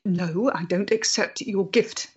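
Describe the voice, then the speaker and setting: Actress as a slow, husky, bitter woman. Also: medium pitch, highs cut off. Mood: cold, dismissive. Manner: intense, deliberate. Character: bitter, disillusioned, proud woman spurning religion. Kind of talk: period audio drama acting.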